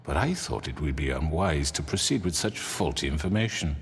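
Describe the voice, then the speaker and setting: An actor playing a haughty crime boss in a video game, speaking with formal, condescending politeness. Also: male voice, extremely low pitch, expressive, a little fast. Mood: haughty, defiant. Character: smooth-talking, haughty male crime boss on trial. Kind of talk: dramatic video game voice acting. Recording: reverberant hall, music underneath.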